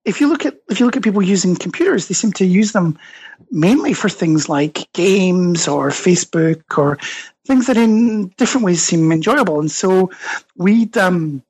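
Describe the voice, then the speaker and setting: Hesitant Scottish man with a husky voice. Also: sits high, animated, normal speed, a little mellow. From Scotland. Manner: hesitant, many fillers and restarts; thoughtful, explanatory. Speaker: guest author and researcher. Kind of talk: podcast interview.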